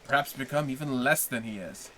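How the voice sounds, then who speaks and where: A man reading aloud puts on a crafty, jealous ship's officer from a storybook, who asks sly, probing questions in a bright, lifted tone. He needles and schemes with a sneer in every line. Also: mid-range voice, a little fast, natural voice. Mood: scheming.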